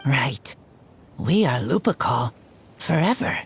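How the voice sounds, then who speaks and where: A man playing an earnest teenage boy raised by wolves in a video game, speaking in blunt, halting, broken sentences. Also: medium pitch, big pitch swings, faint music behind. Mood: grateful, resolute.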